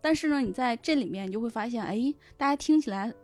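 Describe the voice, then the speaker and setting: A woman's voice: a little high, animated, quick pace, a little mellow, soft background music. A woman talking casually as a podcast guest, explaining things step by step with plenty of examples. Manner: explanatory, illustrates points with examples and imagined reader voices. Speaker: guest, web fiction editor.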